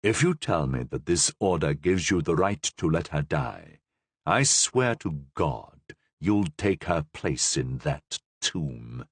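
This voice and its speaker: Grave cardinal, voiced by the male narrator, measured and authoritative, slow and low, audiobook. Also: expressive, breathy edge, no room sound, full character voice.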